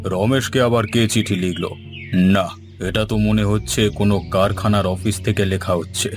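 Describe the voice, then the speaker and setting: Man acting low-voiced nosy village man. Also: steady tone, moderate pace, natural voice. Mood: sly, scheming. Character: nosy grown village men, scheming or folksy. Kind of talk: Bengali cartoon voice acting. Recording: music under the voice.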